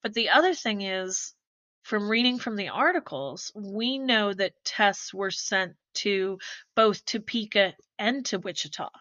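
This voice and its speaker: A woman hosting a true crime podcast, explaining things conversationally in a measured way. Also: mid-range voice, expressive, pushed and bright, dry recording, highs cut off. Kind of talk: conversational explanation of forensic evidence.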